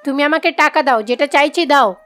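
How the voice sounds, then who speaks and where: A woman's voice: sits high, a little fast, fairly bright tone, soft background music. A woman voicing an arrogant, demanding rich village woman in a fairy-tale cartoon. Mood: insistent. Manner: haughty, demanding.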